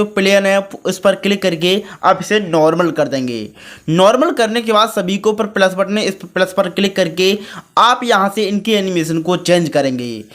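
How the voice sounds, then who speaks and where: A man's voice: high voice, fairly bright tone. A man hosting a how-to tutorial, talking chummily to viewers as friends while narrating his screen. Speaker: tutorial channel host. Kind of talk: screen-recorded tutorial commentary.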